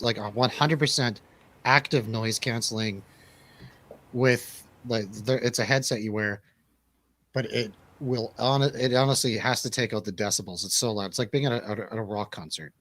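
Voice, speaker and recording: Mid-pitched man in casual panel chat. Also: moderate pace, fairly bright tone. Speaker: movie review show panelist.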